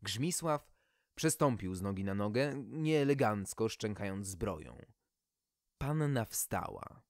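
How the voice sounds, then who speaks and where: A man's voice: lowish voice, lively intonation, slow, no echo, studio quality. A man reading a scripted fairy tale expressively, as an audiobook storyteller.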